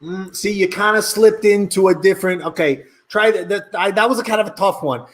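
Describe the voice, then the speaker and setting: A man putting on a professional dialect coach from New York, hesitant and apologetic. He speaks in halting phrases and repeats himself, in a loose improvised comedy style. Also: high voice, a little hiss or hum, lightly acted.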